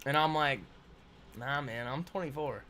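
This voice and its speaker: Man in his twenties, bright voice, casual rambling livestream chat, reads comments. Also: mid-range voice, measured pace, a little hiss or hum. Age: 20s. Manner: rambling, laughing, talks to viewers as "guys". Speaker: trading livestream host.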